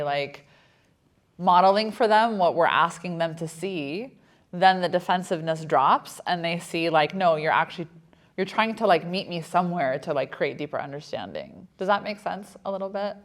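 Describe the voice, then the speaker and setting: A woman's voice: low-pitched, a little fast. A woman co-facilitating a group discussion in an encouraging, conversational way.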